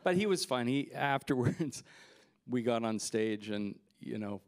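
Gravelly man telling stories on stage. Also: mid-range voice, unhurried. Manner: relaxed, rambling anecdotes with fillers and laughs. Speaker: filmmaker guest. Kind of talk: festival Q&A storytelling. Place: festival stage in front of a backdrop. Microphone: handheld mic.

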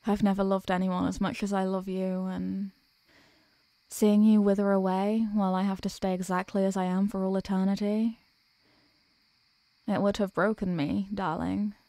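Woman playing a tender vampire girlfriend, soft and mellow. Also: medium pitch, level tone, dry recording, lightly acted. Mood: grateful, loving. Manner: soft, slow, formal, caressing delivery. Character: tender, devoted centuries-old vampire girlfriend, soothing and protective. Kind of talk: intimate ASMR roleplay. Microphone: close microphone.

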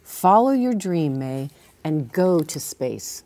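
American woman voicing encouraging storybook mother, loving, reassuring, emphatic phrasing, lowish pitch. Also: very animated, slow pace, warm tone, heavily acted. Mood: comforting. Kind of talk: storybook character reading.

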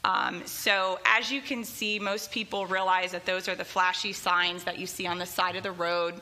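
A woman's voice: level tone, normal speed, pushed and bright, low-quality recording. A young woman from Florida giving a vendor presentation at a community board meeting, speaking at a stand microphone with frequent fillers. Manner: clear, upbeat pitch with frequent um fillers. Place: meeting room, seated at a table.

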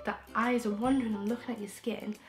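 A young woman from England, croaky from a throat infection, chatting frankly and rambling with restarts as a beauty vlogger in her bedroom. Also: on the high side, steady tone, fast talker, soft background music. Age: young adult. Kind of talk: casual chatty vlog. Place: bedroom, indoors. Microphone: camera in front, close framing.

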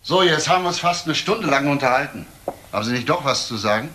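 Methodical detective, roomy sound. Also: male voice, mid-range voice, lively intonation, bright, forceful tone. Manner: matter-of-fact, deliberate, probing. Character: methodical, patient male police detective. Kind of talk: German radio crime drama acting. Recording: roomy with faint noise.

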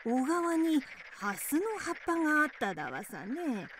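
A woman's voice: high voice, very animated, normal speed, a bit bright, slight background noise. An actress playing an ugly, scheming mother toad in a radio drama, bossy, with quirky repeated sentence endings. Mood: bossy, smug.

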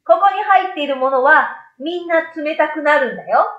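Lady doing a wide-eyed little boy, high n friendly, storybook style, roomy echo. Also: normal speed, muffled recording, natural voice. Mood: friendly, explaining. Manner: childlike casual speech. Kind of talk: storybook read-aloud.

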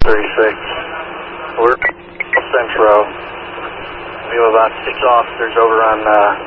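A male officer relays reports on police radio. His voice sits fairly low and comes through a phone-like, noisy scanner channel. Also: completely flat delivery, a little slow.

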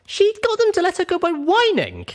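A man voicing an eager, mischievous young female pony adventurer in an audiobook, with excitable exclaiming delivery. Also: extremely high pitch, moderate pace, background noise, pitched above the performer's own voice, full character voice. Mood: amused disbelief.

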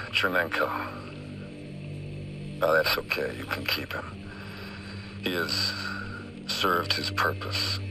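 An actor playing a calculating rogue-agent villain in a video game, taunting in a smug, deliberate way. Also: male voice, a bass voice, poor audio.

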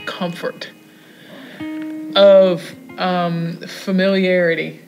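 Female writing teacher, measured slow delivery, emphatic, leading discussion over faint guitar. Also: on the low side, flat delivery, low-quality recording. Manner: emphatic, checks with rhetorical "right?". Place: room inside a prison. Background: soft guitar music.

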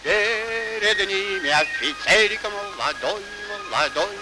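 A soldier singing a folk marching song in an old cartoon. His voice is high and takes its time over each line. Music runs clearly through the 1940s soundtrack. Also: animated, slow pace, low-quality recording. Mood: jaunty.

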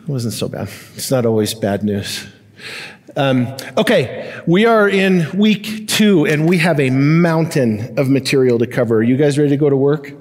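A man's voice: mid-range voice, lively intonation, normal speed, a little mellow. A middle-aged American man preaching conversationally to a congregation as a pastor, informal, with joking asides and stop-and-start phrasing. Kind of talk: conversational sermon and announcements to a congregation. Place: large church auditorium stage, indoors. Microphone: headset microphone. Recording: long hall reverb from church auditorium.

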